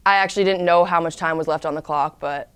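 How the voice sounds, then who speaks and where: A woman's voice: lowish voice, fairly even intonation, fast talker, a bit bright, smooth, dry recording. A woman college lacrosse player answering interview questions in an earnest, casual way.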